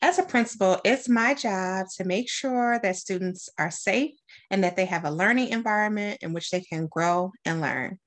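A middle-aged American woman from Detroit, a school principal, doing an expressive storytime read-aloud with deliberate phrasing. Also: mid-range voice. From Detroit, United States. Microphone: webcam at about arm's length.

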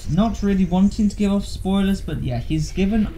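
A young adult man chatting casually as a guest, with a halting, hesitant delivery. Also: high-pitched, warm tone, a little hiss or hum, low-quality recording. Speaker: guest co-commentator. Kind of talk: casual chat.

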